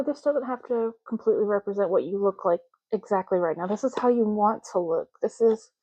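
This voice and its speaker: Soft, mellow woman doing ASMR roleplay. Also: medium pitch, no room sound, muffled recording. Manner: hushed, unhurried, gently explanatory, sometimes slipping into whisper. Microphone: close microphone.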